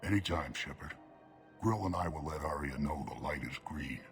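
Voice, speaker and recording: An actor playing a gruff, businesslike alien mercenary enforcer in a video game, terse and commanding. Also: male voice, extremely low pitch, normal speed, soft background music. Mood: firm.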